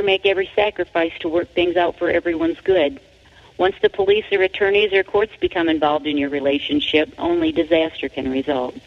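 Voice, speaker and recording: A woman author reading their own book aloud as scripted narration, in a deliberate, emphatic manner. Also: on the low side. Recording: dull, lo-fi audio.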